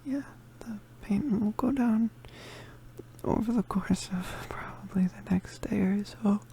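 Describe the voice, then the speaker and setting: A woman plays an injured young witch boy in an intimate ASMR roleplay. The voice is soft, mellow and breathy, often dropping into a whisper. He speaks slowly and haltingly, gentle and vulnerable, over faint background noise. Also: medium pitch, rough recording. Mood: weak, grateful. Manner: halting, stammering, hesitant.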